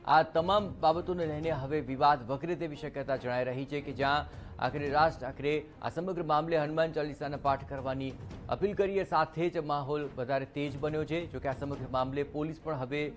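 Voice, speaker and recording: Higher-pitched male news anchor over music. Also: fairly even intonation, moderate pace. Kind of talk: broadcast news reading with some ad-libbing. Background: background music.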